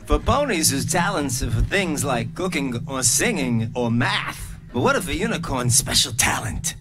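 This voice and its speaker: A woman's voice: a bass voice, very animated, normal speed. A woman voicing a modest, friendly young female unicorn in a cartoon script read, earnest and slightly awkward. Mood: modest, thoughtful. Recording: live reading with music behind.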